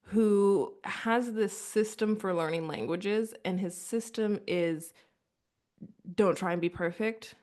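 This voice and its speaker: A woman's voice: mid-range voice, slow pace, darkish tone. A woman talking casually as a podcast guest, chatty with lots of fillers. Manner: chatty, filler-heavy, laughs often. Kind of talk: casual conversation.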